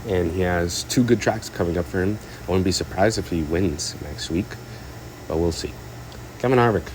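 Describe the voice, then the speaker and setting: Stuffed up guy, super deep voice, umming through a racing recap, light ac hum in the back. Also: normal speed, a little mellow, low-quality recording. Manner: rambling, hesitant, many fillers and restarts. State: congested, stuffed up. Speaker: racing commentator. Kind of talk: casual race recap.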